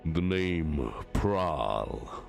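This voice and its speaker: A man playing an authoritative warmaster giving a stern, measured briefing in a dramatic story reading. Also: very deep voice, animated, creaky, soft background music, exaggerated voice. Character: authoritative male warmaster briefing his commanders.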